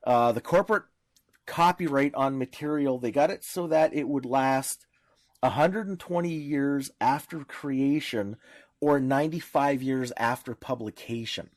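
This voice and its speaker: A man's voice: mid-range voice, unhurried, no room sound. Man explaining things on a podcast, dry sound. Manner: didactic, explains with examples. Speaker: podcast panelist. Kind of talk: informal explanatory discussion.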